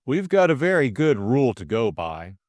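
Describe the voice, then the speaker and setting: A man voicing a stolid middle-aged police superintendent, cautious, measured and plain-spoken, in an audiobook story. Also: mid-range voice, very animated, a little mellow, no room sound, clean studio sound, natural voice.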